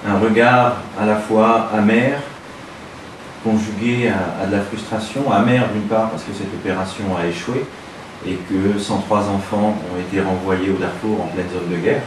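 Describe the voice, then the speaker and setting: A man answers interview questions in a composed, deliberate way, pausing now and then. His voice is fairly low and comes from some distance from the microphone. The room echoes strongly over a steady background noise. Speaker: interviewee, operation organizer. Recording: echoey room, mic far off, noisy.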